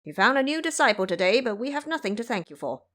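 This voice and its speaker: A woman voicing a cheeky, bossy male monkey disciple in an audio drama, brisk and commanding. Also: a little high, big pitch swings, a bit bright. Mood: teasing.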